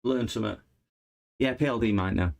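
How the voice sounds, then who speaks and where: A man's voice: fairly low pitch, a little fast, gravelly, a little mellow. A man, a repair channel host, chatting casually with viewers while he works on a laptop. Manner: chatty, chuckling, replies to viewers while working. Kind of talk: casual live-stream chat while repairing.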